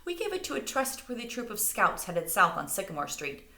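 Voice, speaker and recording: A woman voicing a kindly, apologetic mother in a storybook, earnest and expressive. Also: mid-range voice, fairly quick, gravelly, reverberant, voice pushed up, exaggerated voice.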